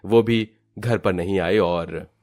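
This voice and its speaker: A man serves as the storyteller for a cartoon family tale. His voice sits fairly low, and he reads scripted narration in a storybook style. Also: slow pace, a little mellow.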